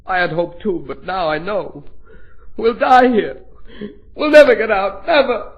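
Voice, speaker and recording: An actor playing a despairing, half-starved chained captive on the edge of hysteria, in old-time radio style, on a vintage recording. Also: male voice, sits high, a little slow, highs cut off. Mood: hysterical despair. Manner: frantic, repetitive. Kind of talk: 1930s radio acting.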